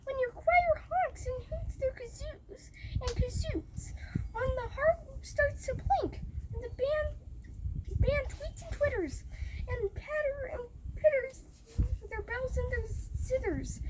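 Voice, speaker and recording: A young boy voicing a royal herald who shouts out the king's decree in a shrill, sing-song proclaiming manner. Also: noisy background.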